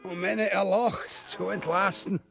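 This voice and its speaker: A man's voice: high-pitched, steady tone, measured pace, creaky, fairly bright tone, soft background music, heavily acted. An actor playing a vulgar, cowardly, bombastic king in a grotesque comedy, blustering and whining with an Austrian accent. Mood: bossy complaining. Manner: blustering, whining, swooping between mutters and bellows. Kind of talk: grotesque comic radio-play acting.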